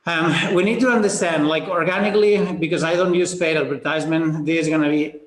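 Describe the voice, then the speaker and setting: A man's voice: on the high side, steady tone, brisk. Upbeat Spanish-accented man hosting a webinar. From Spain. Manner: upbeat, chatty, greets attendees by name, frequent fillers and restarts. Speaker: webinar host. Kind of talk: live webinar presentation. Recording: roomy webinar audio.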